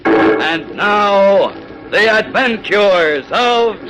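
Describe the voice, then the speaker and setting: Dramatic, higher-voiced male radio announcer. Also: sing-song, drawn-out words, rough recording. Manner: dramatic, declamatory. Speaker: announcer and narrator. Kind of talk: 1940s radio scripted narration. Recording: old radio recording with loud music.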